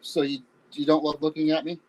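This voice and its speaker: A woman's voice: deep voice, flat delivery, a little fast, a little mellow, poor audio. A woman acting a defensive but playful long-married spouse in an amateur comedy play reading, offhand and deflecting. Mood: playful, wheedling.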